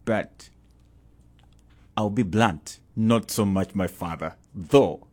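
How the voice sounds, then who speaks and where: A man's voice: fairly low pitch, slow, darkish tone. A middle-aged man with a Ugandan accent, a pastor talking as a guest in an interview, earnest and prone to repeating himself.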